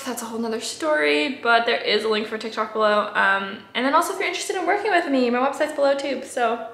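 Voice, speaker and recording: Young woman content creator, casual candid talk to camera, bright tone, roomy home sound. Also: high voice, creaky. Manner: chatty and candid, with frequent ums and likes. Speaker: food photographer and content creator. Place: home room, indoors.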